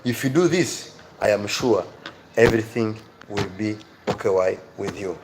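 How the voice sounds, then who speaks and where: A man's voice: on the low side, a little slow, noisy background. A man with an African accent giving a motivational live lesson to viewers as their teacher, earnest and emphatic, repeating key phrases.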